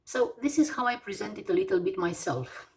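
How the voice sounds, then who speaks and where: A Romanian woman in her forties with a Romanian accent, teaching English vocabulary slowly, with many fillers and pauses as she lists words one by one. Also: medium pitch, sing-song, a little mellow, distant mic. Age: 40s. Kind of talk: slow vocabulary lesson.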